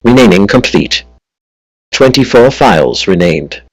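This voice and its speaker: A male computer assistant voice gives automated status announcements. It is slightly low in pitch and very dry, with no room sound. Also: big pitch swings, measured pace, no room sound, low-quality recording.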